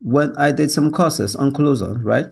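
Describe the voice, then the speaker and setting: A Yoruba-speaking Nigerian man with a learned British accent, pitching himself as a salesman in an assertive monologue, often tagging statements with a questioning yeah or right. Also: measured pace, creaky, husky. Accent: learned British with Nigerian influence. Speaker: sales closer, job applicant. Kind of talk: self-presentation monologue to camera.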